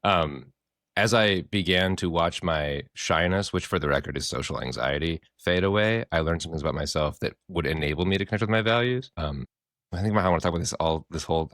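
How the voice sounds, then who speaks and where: A man from the American Midwest, a therapist co-hosting a podcast, talking in a casual, expressive, rambling way with a hoarse voice and frequent swearing. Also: low voice, dry recording, studio quality. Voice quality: hoarse from screaming.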